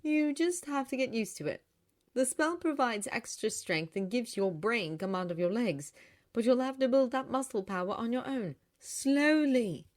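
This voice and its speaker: Woman as a reassuring elder sister, lightly raised pitch. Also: expressive, normal speed, darkish tone, natural voice. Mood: gently cautioning. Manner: reassuring, explanatory. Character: caring elder sister, gently cautioning young woman.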